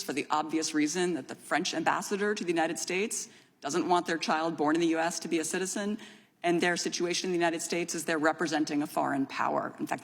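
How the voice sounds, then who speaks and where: Female expert witness, formal and assured, husky with some fry, explaining the law firmly. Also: deep voice. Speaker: expert witness at a legislative hearing. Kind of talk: formal testimony, legal explanation. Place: large indoor hearing room. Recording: reverberant hearing room.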